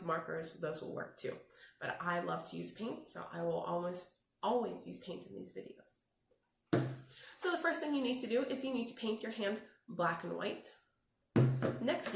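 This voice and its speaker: A woman's voice: mid-range voice, creaky. A woman teacher talking to young viewers in a children's lesson, explaining things simply, step by step. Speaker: teacher hosting children's read-alouds. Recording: echoey room, faint background noise.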